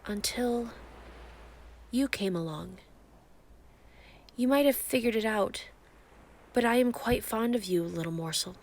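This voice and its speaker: A woman playing an ancient, giant female sea creature, calm and grandiose, flirting possessively in an intimate roleplay monologue. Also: on the high side, unhurried, long pauses. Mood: teasing adoration. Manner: calm, teasing, grandiose, formal wording.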